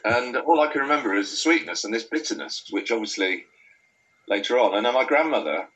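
A man's voice: mid-range voice, animated, fairly quick, a bit bright, dull audio. A man with a North Welsh accent, a beer writer chatting casually and rambling through anecdotes with many restarts. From Wales. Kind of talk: casual chat.

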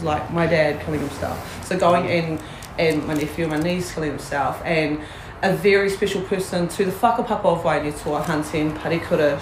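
A woman's voice: low voice, roomy sound, noisy background. A woman with a New Zealand accent, a guest in a candid interview, talking bluntly, swearing freely and laughing often.

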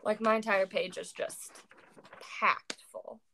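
A young woman talks through poems in a loose, rambling way, full of "like" and restarts. Her voice has a gravelly creak, and she sounds worn out after a long day. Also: mid-range voice, fairly even intonation, slow pace, bright, forceful tone, a little hiss or hum, low-quality recording. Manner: rambling, many fillers, self-corrections. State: tired. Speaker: co-host discussing poetry. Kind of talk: casual chat.

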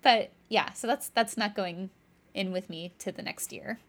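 A woman's voice: medium pitch, a little slow. Chatty woman podcast co-host. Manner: chatty, rambling, laughs lightly, uses "like" a lot. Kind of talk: casual chat.

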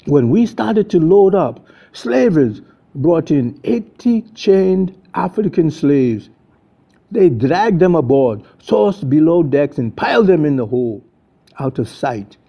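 A man's voice: fairly high pitch, sing-song, takes it slowly. An older man reading an adventure story aloud as a storyteller, in dramatic scripted narration. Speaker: storyteller reading their own book. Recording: dull, lo-fi sound.

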